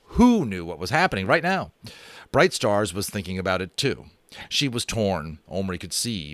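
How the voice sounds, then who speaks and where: An American man narrating a children's novel aloud in a dramatic, animated way, with chatty, self-amused asides. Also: lowish voice, dry recording. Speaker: audiobook reader and host. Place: home room.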